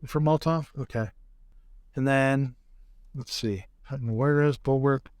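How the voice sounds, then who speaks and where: A man playing a tabletop roleplaying game, casually narrating his action in a halting, deliberate way. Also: medium pitch, darkish tone, smooth, dry recording, studio quality.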